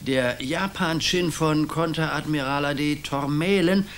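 An actor playing a worried small-town mayor in a radio play, hesitant and ponderous. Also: male voice, on the high side, a little slow, fairly bright tone, a little hiss or hum. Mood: fretful.

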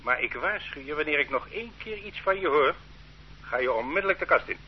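An actor playing a stern state interrogator who bullies and threatens a suspect in a radio play, in a dull old recording with faint hum. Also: male voice, fairly high pitch, lively intonation, fairly quick, lightly acted. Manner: bullying, condescending. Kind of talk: radio drama acting.

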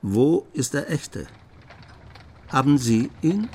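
An actor playing a writer who narrates a master thief's adventures in a radio play, with measured, emphatic storytelling. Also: male voice, mid-range voice, big pitch swings, darkish tone, slight background noise. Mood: inviting, curious. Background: guitar music.

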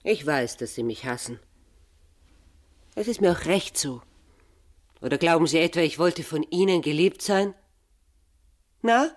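A cool, unimpressed lady, with a slightly low voice. Her delivery is matter-of-fact, in a naturalistic radio play style. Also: animated, measured pace, pauses often. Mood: cool defiance. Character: cool, unimpressed unmarried woman dressing up. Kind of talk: naturalistic radio drama acting.

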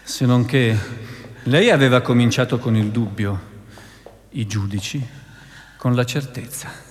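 An actor playing a measured, sombre narrator who recounts a historical trial in solemn, scripted radio theatre style. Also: male voice, medium pitch, lightly acted. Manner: deliberate, solemn, declamatory. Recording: reverberant hall with guitar music.

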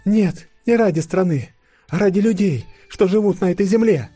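A man puts on a proud young princess for an audiobook drama. Her voice is high and solemn, resigned yet dignified, with a dry studio closeness. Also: normal speed, warm tone, husky, dry recording, soft background music. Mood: solemn resolve.

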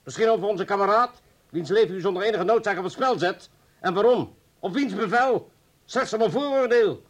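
An earnest comrade in a radio drama, in a fairly high male voice. He is reproachful and probing, pressing his points with emphasis as he appeals to reason. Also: a little fast. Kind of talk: Dutch radio play acting.

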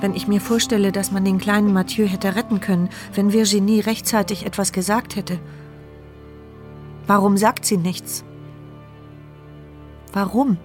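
A woman's voice: medium pitch, fairly even intonation, measured pace, long pauses, lightly acted. An anxious inner voice of a paralysed woman, voiced by an actress, speaking thoughts no one else can hear. Her tone is tense and introspective, set against faint music. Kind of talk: radio play inner monologue.

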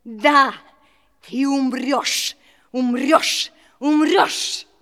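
Wicked old fairy, imperious and gleefully vengeful, piercing voice in a long reverberant hall, audio play. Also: female voice, sits high, steady tone, extremely slow, fairly bright tone, exaggerated voice. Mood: menacing. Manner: imperious, menacing, theatrical delivery.

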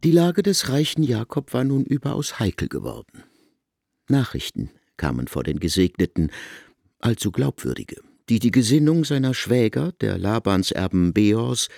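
A wry, learned man telling a literary tale for radio. His low voice moves with lively swings of pitch, recorded close and dry. He speaks with deliberate emphasis. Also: creaky, dry recording, full character voice. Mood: expressive. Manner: expressive, emphatic, deliberate storytelling. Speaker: narrator. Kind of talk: literary radio drama narration.

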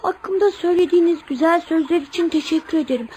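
A woman's voice: extremely high pitch, quick pace, a little mellow, a little hiss or hum. A woman playing a small, polite, timid, well-bred boy who speaks hesitantly and respectfully in a Turkish radio play. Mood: shy, respectful. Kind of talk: Turkish radio theater acting.

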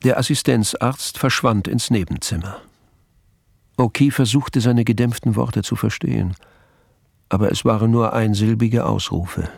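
Casting: composed crime-story narrator, husky low voice, literary and matter-of-fact delivery. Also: male voice, a little slow, dry recording, studio quality, exaggerated voice. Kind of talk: scripted radio play narration.

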